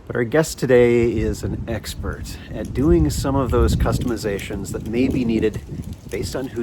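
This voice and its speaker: Gentle low-voiced male podcast host. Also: noisy background. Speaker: podcast host, mindfulness teacher.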